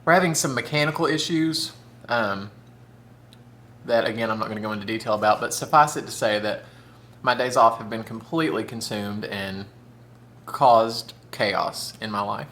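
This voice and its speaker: A man's voice: on the low side, normal speed, pushed and bright. A man, chatting casually and campily while eating on camera, sometimes with his mouth full. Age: 30s. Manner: chatty, camp, says "y'all" and "honey". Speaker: mukbang vlogger.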